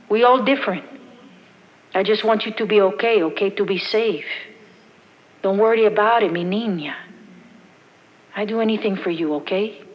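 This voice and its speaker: A woman voicing a protective middle-aged Puerto Rican mother with a Puerto Rican accent, leaving a halting, repetitive voicemail. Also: mid-range voice, big-room sound, lo-fi sound, close to an everyday voice. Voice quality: halting, repeating herself, broken English. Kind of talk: voicemail monologue in a stage show.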